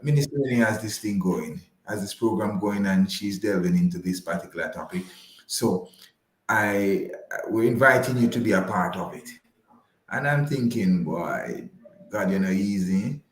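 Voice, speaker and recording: A man speaking as an interview guest, measured and courteous, with slightly formal wording. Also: lowish voice, animated, echoey room. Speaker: interview guest, financial advisor. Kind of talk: conversational radio interview.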